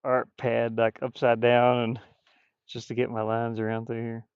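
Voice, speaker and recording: Guy streaming while he draws, rambly and muttery, gets loud in bursts, dry close sound, bit muffled. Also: mid-range voice, monotone, moderate pace, clear, resonant tone, no echo. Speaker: streamer drawing digital art. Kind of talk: casual chat while drawing on a livestream.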